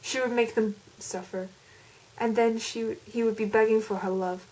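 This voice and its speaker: A woman's voice: a little high, steady tone, moderate pace, a little mellow, lightly acted, poor audio. A woman putting on an American accent as an intense, melodramatic storyteller with dramatic, emphatic delivery. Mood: anguished, vengeful. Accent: put-on American.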